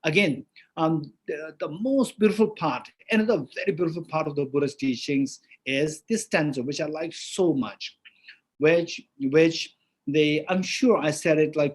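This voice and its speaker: A man's voice: a little high, a little slow, husky. A middle-aged man with as his gives a Buddhist teaching, asking himself questions and answering them, with many restarts. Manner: lively, rhetorical self-questioning with frequent restarts. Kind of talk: dharma teaching.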